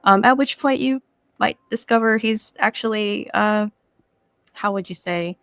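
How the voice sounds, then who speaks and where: A woman's voice: mid-range voice, a little slow, a bit bright, clear, resonant tone, dry recording, clean studio sound. A woman giving casual, informal explanations in a podcast interview as a game creator. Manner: informal, explanatory, peppered with "like" and "kind of".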